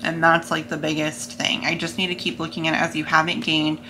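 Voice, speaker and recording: A woman's voice: deep voice, level tone, a little fast, background noise. A young adult American woman chatting casually to camera in a vlog, seated in a plain room at home. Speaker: vlogger.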